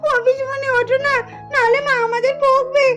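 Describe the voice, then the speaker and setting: A woman's voice: extremely high pitch, flat delivery, moderate pace, a bit bright. A woman voicing a small, worried, caring village girl doll in a puppet cartoon, pleading in a childlike way. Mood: anxious, pleading. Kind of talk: Bengali puppet story cartoon acting. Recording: music under the voice.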